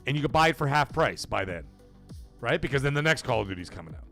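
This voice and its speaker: Lively-voiced man hosting a gaming stream. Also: medium pitch, fast talker, creaky, soft background music. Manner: emphatic, persuasive, keeps checking in with "okay?" and "right?". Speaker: host of a gaming livestream. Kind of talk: casual livestream commentary, greeting chat.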